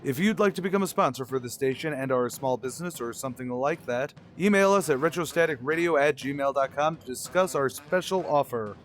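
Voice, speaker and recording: A man's voice: medium pitch, fairly quick, hardly any pauses, soft background music. A man reading scripted announcements as the announcer of a radio show.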